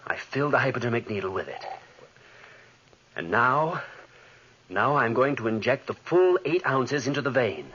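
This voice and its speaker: Young obsessed scientist, proud and intense, swooping delivery, dull old radio horror recording. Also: male voice, mid-range voice, animated, normal speed, long pauses, fairly bright tone, slightly rough. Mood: fervent. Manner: intense, dramatic. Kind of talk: 1940s radio horror acting.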